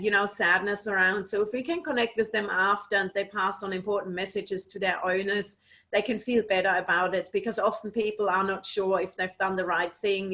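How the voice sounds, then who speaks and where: Guest woman from Switzerland, earnest explainer, mid pitch, video call audio. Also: pushed and bright. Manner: earnest, explanatory, many "you know"s. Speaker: animal communicator, guest. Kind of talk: casual interview answers.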